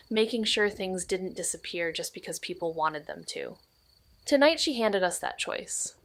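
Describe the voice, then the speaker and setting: Actress as a quiet young woman detective narrating at dawn, soft and hushed, mid-range voice, dry close sound. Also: mid-range voice, no echo, natural voice. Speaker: first-person narrator. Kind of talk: audio drama narration.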